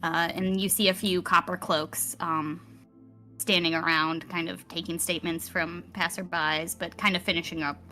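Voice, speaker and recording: A woman game master with a bright, forceful voice, telling a fantasy tale in theatrical storyteller phrases. She addresses her players as she goes, over quiet background music. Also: on the low side, flat delivery, moderate pace. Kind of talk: narrating a live tabletop roleplaying game.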